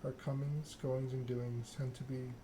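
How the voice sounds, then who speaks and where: Guy reading a story game asmr style, pretty monotone, trips over words sometimes, noisy background. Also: medium pitch, a little slow. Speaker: gaming channel host. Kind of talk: ASMR read-aloud of a narrative game.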